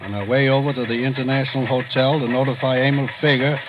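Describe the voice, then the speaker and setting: Actor as brisk, dry commissioner. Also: male voice, mid-range voice, steady tone, highs cut off, natural voice. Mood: dry, businesslike. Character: businesslike male police commissioner reading out reports. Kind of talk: old-time radio drama acting. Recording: noisy vintage radio broadcast recording.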